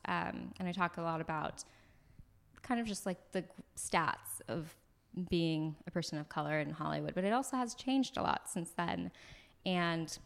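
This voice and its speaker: A young American woman, an actress, chatting as a podcast guest in an animated way, laughing often and filling her talk with "like". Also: lowish voice, vocal fry, clear, resonant tone. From United States. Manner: animated, laughs often, talks in bursts with many "like"s. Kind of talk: casual podcast conversation. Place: floor of an apartment on moving day.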